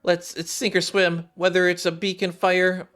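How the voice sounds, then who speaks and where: A man's voice: sits high, flat delivery, moderate pace, fairly bright tone, pitched above the performer's own voice. A man voicing an earnest, plucky young female android survival expert, eager and determined, in a game read-along. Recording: game music behind the voice.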